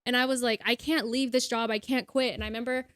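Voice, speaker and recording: A young woman in her twenties co-hosting a podcast, talking candidly and quickly with lots of fillers. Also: on the high side, fairly even intonation, fairly bright tone, no echo. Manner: candid, talks fast with many "like" fillers. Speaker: podcast co-host. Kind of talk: casual chat.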